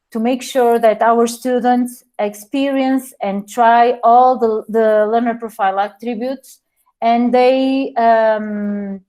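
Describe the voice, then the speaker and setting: A woman with a Portuguese accent presenting a webinar over a video call, halting often and restarting her sentences. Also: a little high, warm tone. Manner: hesitant, frequent pauses and restarts.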